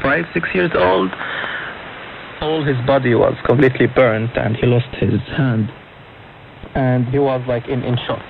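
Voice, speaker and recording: A young man speaking non-native English in short phrases as an interview guest, recounting his own experiences over a shortwave radio broadcast. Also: mid-range voice. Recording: narrow, noisy shortwave radio reception. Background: clear radio static and noise.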